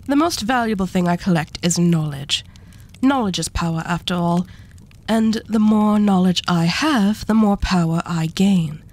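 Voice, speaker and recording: A woman's voice: mid-range voice, lightly acted. Woman playing a haughty, condescending female arch devil. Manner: condescending, unhurried, politely menacing. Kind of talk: scripted ASMR roleplay acting.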